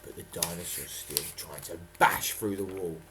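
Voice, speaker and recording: A man's voice: deep voice, steady tone, normal speed, bright, forceful tone, a little hiss or hum. A man reading a storybook dramatically and breaking off for chatty, playful asides.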